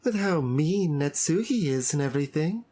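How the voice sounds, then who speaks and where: A woman playing a sweet, earnest high school club president in a visual novel, speaking in a gentle, hesitant, confiding way. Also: expressive, normal speed. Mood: gentle concern. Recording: music behind the voice. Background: background game music.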